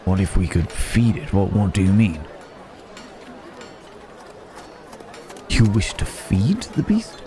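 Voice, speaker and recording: A man's voice: on the low side, darkish tone, close to an everyday voice. A man playing a courteous, wary city-bred werewolf in an ASMR roleplay, hesitant and musing with old-fashioned wordy phrasing. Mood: wary, questioning. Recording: market crowd and outdoor ambience noise. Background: bustling village market ambience.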